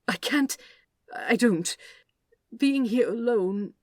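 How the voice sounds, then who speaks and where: A woman voicing an anxious, fussy male angel in an audiobook story, earnest and halting as he explains himself. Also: fairly high pitch, no echo, studio quality, voice pushed up. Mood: stressed, pleading.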